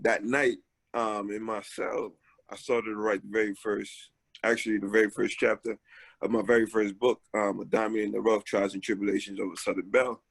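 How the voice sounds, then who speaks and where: Relaxed man in his forties, dry sound. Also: on the low side, creaky, no echo, low-quality recording. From Haiti, raised in Brooklyn, New York. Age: 40s. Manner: relaxed, many filler ums, storytelling. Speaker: author guest. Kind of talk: casual interview talk. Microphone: video call.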